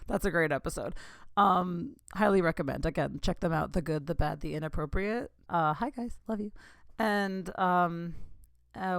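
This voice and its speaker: A woman's voice: lowish voice, no echo. Chatty woman in her thirties, dry sound. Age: 30s. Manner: rambling, giggly, lots of "like" and asides. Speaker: podcast host. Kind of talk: casual solo podcast chat.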